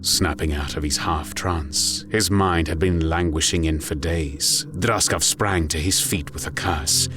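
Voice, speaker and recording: A man giving a grave, measured, dramatic narration of a scripted story. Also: very deep voice. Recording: music under the voice. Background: music.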